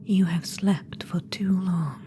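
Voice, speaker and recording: A woman's voice: fairly low pitch, steady tone, slow, music in the background. A woman voicing an imperious undead witch-queen spirit in an ASMR audio roleplay. She speaks in a whisper, teasing, condescending and commanding. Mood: coaxing whisper. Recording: clear background noise.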